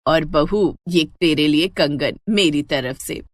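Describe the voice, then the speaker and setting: A woman's voice: low-pitched, no room sound, clean studio sound, voice pushed down. A woman voicing a kindly older mother-in-law in a family story, affectionate and motherly. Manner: slow, motherly.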